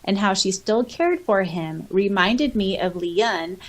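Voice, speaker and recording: A woman's voice: medium pitch, lively intonation, slow. A woman co-hosting a podcast in a casual chat, reading out listener comments and reacting with giggly enthusiasm. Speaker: podcast co-host.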